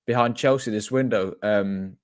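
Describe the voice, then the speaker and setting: A low-voiced man chats as a podcast co-host and keeps pausing on ums and restarts. The recording sounds dry and close. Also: smooth, no echo. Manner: hesitant, many ums and restarts. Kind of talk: casual chat.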